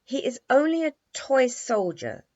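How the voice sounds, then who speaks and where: Woman voicing a scornful little storybook girl, swooping pitch. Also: very animated, measured pace, a little mellow, dry recording. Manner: bossy, teasing, exclamatory. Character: haughty, scornful little girl, a minister's daughter. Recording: dry, slightly dull lo-fi recording.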